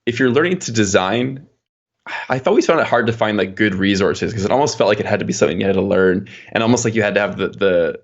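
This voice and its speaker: A man with a lowish, gravelly voice talks at a rapid clip in a casual interview. He rambles informally as he explains things. The recording is dull and lo-fi, like muffled call audio. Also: lively intonation. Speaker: podcast guest, software engineer.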